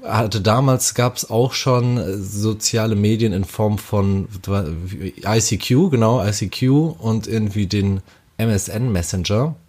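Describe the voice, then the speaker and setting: Laid-back man, warm low voice. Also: a little slow. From Hamburg, Germany. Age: 30s. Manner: relaxed, rambling with fillers and restarts. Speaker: interviewee. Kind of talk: casual storytelling.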